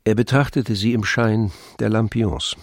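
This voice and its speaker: Lowish male radio-play narrator. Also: steady tone, a little slow, no room sound, clean studio sound.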